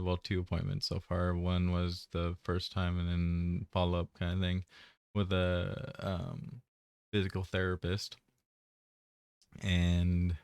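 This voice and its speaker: A man's voice: sits low, clear, resonant tone, dry recording, clean studio sound. A man giving a casual personal update, rambling and hesitant, often trailing off mid-thought. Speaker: vlogger.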